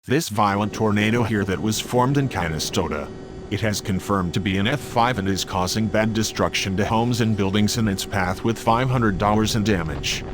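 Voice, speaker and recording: A man's voice: on the low side, moderate pace, background noise, close to an everyday voice. A man playing a news anchor covering a disaster live. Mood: earnest.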